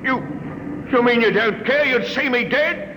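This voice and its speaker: High-pitched, sneering, cowardly ship's officer. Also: male voice, measured pace. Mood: panicked. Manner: taunting, indignant. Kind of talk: old radio drama acting. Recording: noisy old radio recording.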